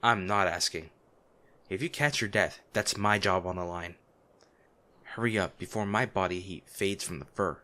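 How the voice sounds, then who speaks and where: A man playing a noble lady's gruff, protective bodyguard, blunt and emotional, in a dramatic fantasy audio roleplay. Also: low voice, moderate pace, sharp tone. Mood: gruff concern. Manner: exasperated, emotional, blunt, with sighs. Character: gruff, protective male bodyguard of a noble lady, scolding yet anxious.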